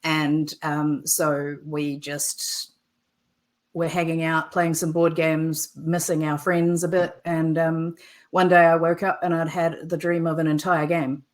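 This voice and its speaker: A low-pitched woman talks through her work in a relaxed interview. She chats freely, sprinkling in "um" and laughing easily. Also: slow. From New Zealand. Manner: chatty, frequent "um" fillers, laughs easily. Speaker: game designer and illustrator. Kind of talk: casual interview chat.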